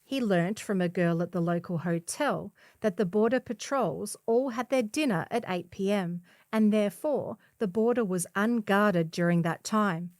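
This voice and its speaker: A woman's voice: mid-range voice, moderate pace, few pauses, a little mellow, no echo, clean studio sound. A woman reading a scripted feature article as a volunteer newspaper narrator. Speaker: volunteer reader of a community newspaper.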